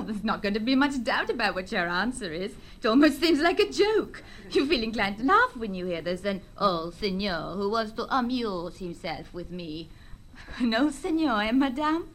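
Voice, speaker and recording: An actress playing a bold, bitter young stepdaughter in a stage drama, impatient, mocking and passionately theatrical. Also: female voice, medium pitch, very animated, normal speed, fairly bright tone, slight background noise.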